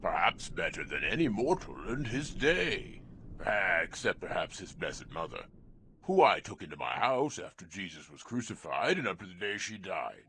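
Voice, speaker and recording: An actor playing a gentle, devout apostle saint in a children's audio drama, telling his story with reverent, measured delivery. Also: deep voice, big pitch swings, a little hiss or hum, full character voice.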